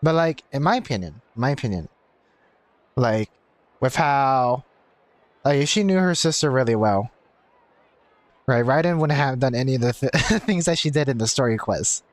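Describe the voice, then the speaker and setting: A man's voice: medium pitch, lively intonation, moderate pace, long pauses, no echo. A man chatting casually on a game livestream, explaining himself and circling back over his points. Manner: repetitive, explanatory. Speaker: game streamer. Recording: game music behind voice.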